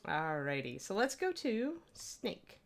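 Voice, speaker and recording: A woman's voice: lowish voice, big pitch swings, slow, creaky, slight background noise. A woman with a Midwestern accent, chatting casually and rambling as she teaches miniature painting on a livestream.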